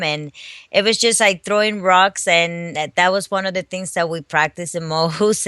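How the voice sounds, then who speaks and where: A middle-aged woman from the Dominican Republic, a fitness coach speaking haltingly with many restarts in a phone interview. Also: on the low side, sharp tone, clean tone, dry recording. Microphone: phone call.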